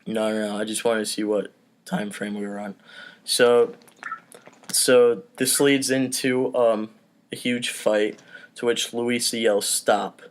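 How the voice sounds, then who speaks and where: A man's voice: lowish voice, level tone, measured pace. A man hosting a casual table read, reading out stage directions and talking between them. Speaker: host and stage-direction reader.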